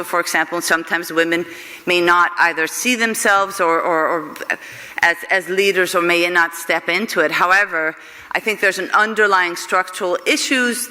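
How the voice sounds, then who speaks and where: A woman from Iceland, answering audience questions at a conference in a halting way, often repeating and restarting words. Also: on the low side, pushed and bright, long reverb tail. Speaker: conference speaker.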